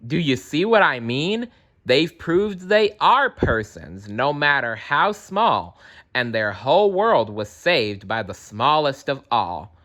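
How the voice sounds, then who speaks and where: A man's voice: mid-range voice, big pitch swings, takes it slowly, sharp tone, exaggerated voice. A man voicing a big, kind-hearted elephant in an earnest, encouraging storybook voice. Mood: proud.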